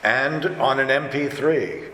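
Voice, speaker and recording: A man talking casually as a director and actor on a convention panel, chatty and halting, often restarting his thoughts. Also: mid-range voice, sharp tone. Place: large convention panel room. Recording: echoey hall, distant, noisy room. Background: room ambience and noise.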